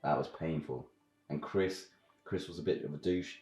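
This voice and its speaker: A man's voice: a bass voice, moderate pace, a little mellow, echoey room, soft background music. A British man giving his opinions on films and games in a casual, slangy chat as a reviewer. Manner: informal, slangy. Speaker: film and game reviewer.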